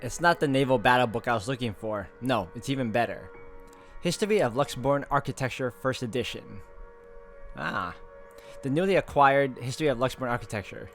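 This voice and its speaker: A man hosting a game playthrough, reading visual novel narration aloud in an informal, expressive way with casual asides and the odd stumble. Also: medium pitch, brisk, faint music behind. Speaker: gaming video host.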